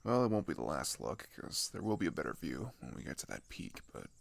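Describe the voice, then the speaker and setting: A man playing a friendly, chatty teenage migrant boy in an ASMR roleplay, talking casually and haltingly with pauses and sighs. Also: very deep voice, very animated, gravelly, full character voice. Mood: wistful. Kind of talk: ASMR roleplay, one-sided conversational acting.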